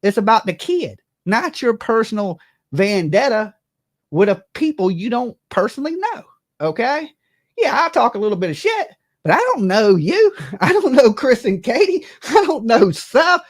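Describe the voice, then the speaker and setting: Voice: medium pitch, sing-song, normal speed. Casting: livestream gossip commentator, mid-range voice, brash and sweary, conversational, punctuates points with "right?" and "okay?". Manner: brash, profane, tags statements with "okay?" and "right?". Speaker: livestream host.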